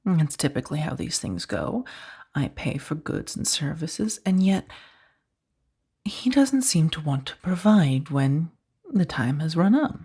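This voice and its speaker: A woman playing a powerful, condescending mafia boss in a soft-spoken ASMR roleplay, taunting with mock sweetness and a breathy, husky voice that dips toward whispers. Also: sits low, sing-song, measured pace, exaggerated voice. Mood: cool, menacing.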